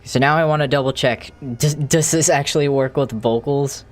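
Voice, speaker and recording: A streamer thinking aloud in a halting, casual way while doing live coding commentary, with an unclear, mid-range voice. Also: mid-range voice. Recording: music playing behind the voice. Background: music.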